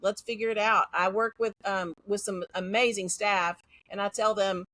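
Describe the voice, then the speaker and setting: Frank Texan woman, bright voice. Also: mid-range voice, steady tone, moderate pace, poor audio. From Central Texas. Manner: direct, frank, confident. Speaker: guest, educator. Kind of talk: casual interview talk.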